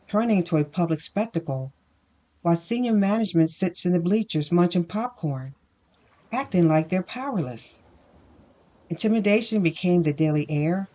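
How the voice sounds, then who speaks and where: A woman's voice: lowish voice, warm tone. A woman playing an indignant, sardonic employee in a first-person audio fiction monologue, emphatic and wry. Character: indignant, sardonic woman recounting workplace bullying.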